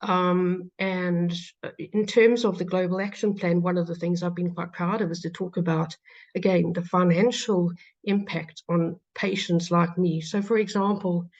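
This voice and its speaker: A woman with a South African accent giving a conference talk as a patient advocate, pausing often with fillers and restarts. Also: lowish voice, steady tone, a little mellow. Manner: hesitant, many fillers and restarts. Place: lecture hall. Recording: dull lo-fi sound.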